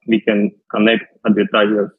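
Russian accent guy, low monotone voice, hesitant interview answers, sounds like a phone call. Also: husky. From Russia, western Siberia. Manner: hesitant, halting. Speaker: podcast guest, data scientist. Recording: muffled phone-like line, roomy echo.

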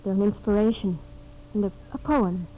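A woman's voice: medium pitch, normal speed, natural voice. Principled, polished young woman played by an actress, firm and sincere, mid-range voice, dull vintage radio sound. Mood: gracious. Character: earnest, principled, well-spoken young woman settler. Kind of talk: 1950s radio drama acting. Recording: noisy, muffled vintage radio recording.

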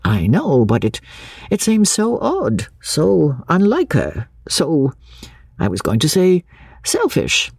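A woman's voice: deep voice, wildly swooping pitch, vocal fry, a little mellow, husky, no room sound, pitched above the performer's own voice, full character voice. A woman reading a storybook as a courteous, earnest and puzzled clergyman who speaks hesitantly. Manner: hesitant, halting, deliberate.

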